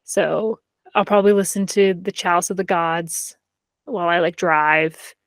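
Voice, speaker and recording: A woman's voice: on the low side, steady tone, measured pace, dry recording. Woman chatting casually, close dry sound. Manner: informal, conversational, peppered with "like". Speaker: podcast co-host. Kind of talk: casual chat about books and TV.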